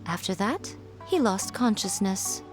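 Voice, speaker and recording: An actress voicing a sly, playful, self-assured fox shrine maiden in a video game, with a poised, teasing manner. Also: female voice, medium pitch, sing-song, a little slow, loud music behind the voice. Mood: knowing, playful. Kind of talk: video game character dialogue.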